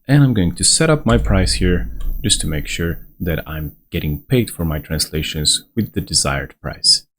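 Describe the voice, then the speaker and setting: A man's voice: deep voice, expressive, moderate pace, fairly bright tone. Man, tutorial presenter, reassuring step-by-step tone, faint background hiss. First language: Slovenian. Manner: conversational step-by-step guiding, reassuring. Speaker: onboarding guide presenter. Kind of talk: screen-recorded software walkthrough.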